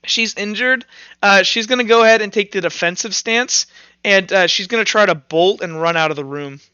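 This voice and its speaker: A man running a tabletop roleplaying game as game master, narrating and explaining rules informally, thinking out loud as he goes. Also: high-pitched, a little fast, smooth, no room sound, highs cut off.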